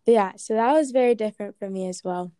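A woman's voice: mid-range voice, quick pace, mellow, no room sound, studio quality. A woman from Ghana with an American accent, answering interview questions casually with many "like" and "um" fillers.

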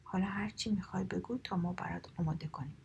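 A woman's voice: lowish voice, gravelly, a little mellow, dull audio, slight background noise. A woman whispering the part of a wise old woman who is the spirit of a month, in a storybook reading. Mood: calm.

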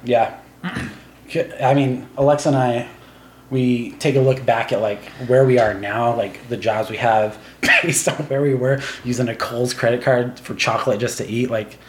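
A man's voice: mid-range voice, steady tone, moderate pace, reverberant, slight background noise. A man in his thirties who grew up in Taiwan with Chinese as his first language, a podcast guest rambling casually with lots of filler words. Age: 30s. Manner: rambling, filler-heavy, laughs between thoughts. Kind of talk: casual chat.

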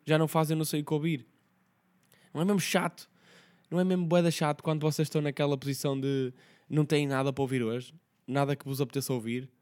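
A hoarse young man with a Portuguese accent, hosting his podcast as a rambling, slang-heavy casual chat. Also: on the high side, darkish tone. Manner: slangy, rambling, quick talk with many fillers. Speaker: podcast host.

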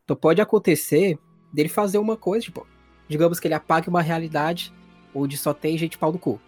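A Brazilian man runs a tabletop role-playing game as game master. His voice sits a little high, and he explains scenes informally over faint background music. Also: fairly even intonation, a little fast, a little mellow.

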